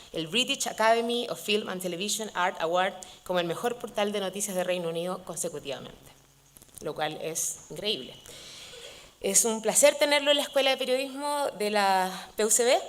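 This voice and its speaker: A woman academic formally introducing a guest speaker, measured with occasional fillers. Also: medium pitch.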